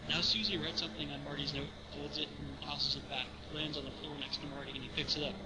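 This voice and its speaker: A man's voice: a little high, fairly even intonation, quick pace, very noisy. A man narrating stage directions in an informal read-aloud of a screenplay.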